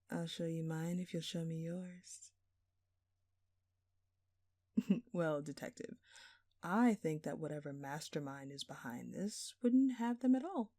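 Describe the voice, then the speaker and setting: Woman playing a smug, flirty thief, low mellow voice. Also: no echo, studio quality. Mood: smug, teasing. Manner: teasing, sly, mock-innocent. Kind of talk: audio roleplay acting addressed to the listener.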